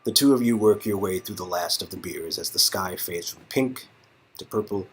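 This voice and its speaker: A man's voice: low-pitched, normal speed, husky. A man narrating a story game as co-host, mixing scripted narration with casual banter. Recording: music in background.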